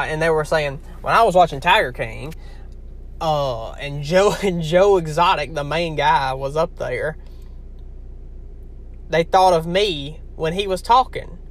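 A man's voice: fairly high pitch, a bit bright. A young man from Louisiana with a heavy Southern accent, chatting casually and rambling to his viewers. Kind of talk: casual talk to camera.

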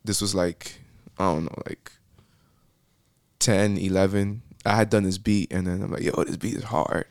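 A man's voice: low-pitched, steady tone, normal speed, dry recording, clean studio sound. A young man from Miami, a podcast guest, talking casually and rambling with lots of fillers. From Miami, Florida. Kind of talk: casual interview chat.

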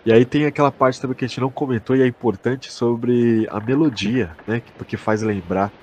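A man's voice: medium pitch, fairly even intonation, a little fast, darkish tone, slight background noise. A Brazilian man co-hosting a podcast, chatting casually in slang and addressing his partner like a buddy. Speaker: podcast co-host. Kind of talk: casual chat, theorizing.

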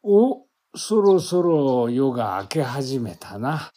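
A man's voice: mid-range voice, big pitch swings, slow, dark tone. A man acting as a kindly older forest guide in an audio drama, with folksy, reassuring speech. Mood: calm, reassuring.

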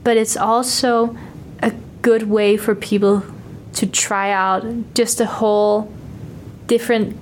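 A woman's voice: medium pitch, level tone, takes it slowly, slight background noise. Chatty young Danish woman student. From Denmark. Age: young adult. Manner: chatty, upbeat, fillers of like. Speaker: exchange student interviewee. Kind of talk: casual interview chat.

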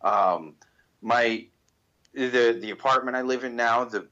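Man, mid-pitched, stammering casual talker, muffled dull sound. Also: bright, forceful tone. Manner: halting, stammering, joking. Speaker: podcast co-host. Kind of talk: casual chat.

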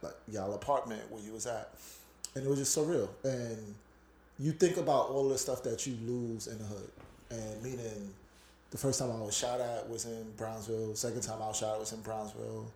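Reflective Brooklyn man, mellow echoey voice. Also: medium pitch, a little hiss or hum. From Brooklyn, New York. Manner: reflective, earnest storytelling. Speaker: podcast co-host. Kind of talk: casual podcast chat.

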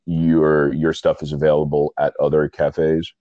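A man's voice: rumbling low, monotone, a little slow, no room sound, highs cut off. A man with a stuffy nose hosting a casual interview, rambling with self-deprecating asides. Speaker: podcast host and coffee reviewer.

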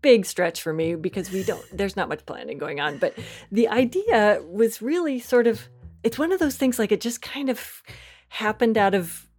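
A woman's voice: mid-range voice, expressive, normal speed, darkish tone, breathy edge. A woman chatting casually as an interview guest, informal, jokey and a little self-deprecating. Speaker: interview guest, audio comedy writer. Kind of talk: casual chat.

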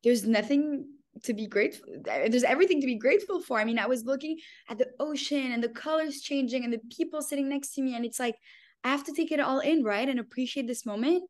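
A woman's voice: high-pitched, quick pace, darkish tone. A woman in her twenties, born in France and raised in Canada, talking eagerly as a guest in a casual podcast interview and laughing often. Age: 20s. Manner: enthusiastic, gushing, laughs often.

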